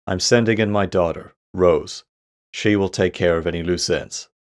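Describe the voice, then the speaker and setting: A man's voice: low voice, moderate pace, lightly acted. A man playing a cold, middle-aged crime syndicate boss in an audio drama, with a calculated, menacing manner. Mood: cold, calculating.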